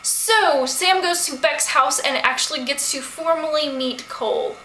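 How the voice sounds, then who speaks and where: Woman doing a casual book vlog, kinda high voice, slangy, echoey room w a bit of noise. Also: unhurried. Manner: chatty, slangy, animated asides and questions to viewers. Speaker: book vlogger. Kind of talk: casual book discussion to camera.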